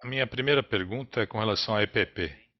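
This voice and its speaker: A man's voice: fairly low pitch, fast talker. Brazilian man on dull conference-call audio. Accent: Brazilian Portuguese.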